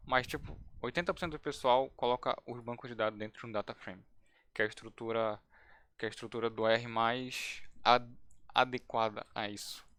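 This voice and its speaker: A man's voice: mid-range voice, fairly bright tone, no room sound. A man teaching a live coding lesson, talking casually and thinking aloud with restarts. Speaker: instructor.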